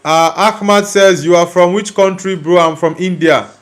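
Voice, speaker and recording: A young man from Nigeria hosts a football live stream in a relaxed, chatty way. His voice sits a little higher than most men's. He reads out viewer comments and answers them directly, sometimes teasing, sometimes blunt. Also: moderate pace. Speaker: livestream host reading chat. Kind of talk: casual live chat.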